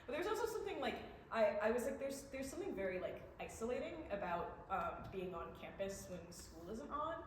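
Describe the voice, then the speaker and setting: A woman's voice: on the high side, big pitch swings, a little fast, few pauses. Young woman in a very echoey room. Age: young adult. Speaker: student playwright. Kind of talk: casual post-show Q&A answers. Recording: very echoey room, faint background noise.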